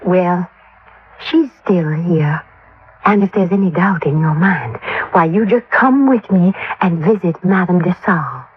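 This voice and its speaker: A woman's voice: on the low side, expressive, a little slow, slightly rough. An actress playing a genteel, middle-aged grieving mother, a dotty believer in spiritualism, speaking earnestly and properly in a radio drama. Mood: wistful, earnest. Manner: earnest, proper, slightly scatterbrained. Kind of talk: 1950s radio drama acting. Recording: tinny vintage radio, band-limited.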